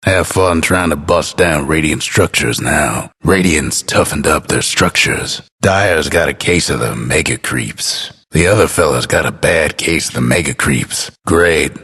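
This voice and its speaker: An actor playing a laconic, wry frontier narrator who announces a video game battle in a folksy, understated, gravelly voice. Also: male voice, rumbling low, a little slow, hardly any pauses, fairly bright tone, heavily acted. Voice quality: husky, gravelly. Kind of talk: scripted game announcer lines, drawling western narration.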